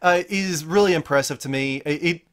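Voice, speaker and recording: A man's voice: on the high side, brisk, a bit bright. A man hosting a podcast in casual conversation, reacting to and prompting his co-hosts. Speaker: podcast host. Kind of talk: casual chat.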